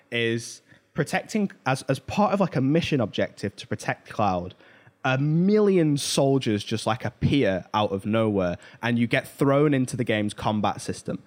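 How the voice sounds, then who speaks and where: A man hosting a podcast, talking casually and informally, telling stories with emphatic asides. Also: medium pitch. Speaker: podcast host. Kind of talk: casual pop culture discussion.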